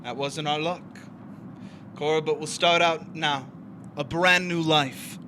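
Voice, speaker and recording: A man's voice: a little high, fairly even intonation, takes it slowly, sharp tone. An actor playing a devoted, world-weary man in doomed love, earnest and coaxing, in an old-fashioned melodramatic stage style. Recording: noisy live online stage recording.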